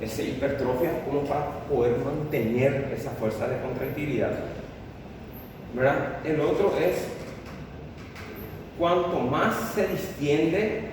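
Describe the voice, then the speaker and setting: A man's voice: fairly high pitch, a little slow, pauses often, noisy background. A man lecturing a class in Spanish, walking through exam questions with a patient, explanatory manner and frequent tag questions. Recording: roomy classroom audio from a distant mic.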